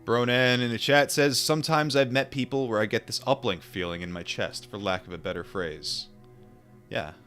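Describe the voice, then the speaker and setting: A man's voice: mid-range voice, a bit bright, clean tone, faint music behind. A man who reads a book aloud to viewers and chats with them in an informal, musing way. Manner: musing, informal, with pauses and repetitions. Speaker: streamer reading a book aloud and chatting with viewers. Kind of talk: book read-aloud mixed with casual reflective chat.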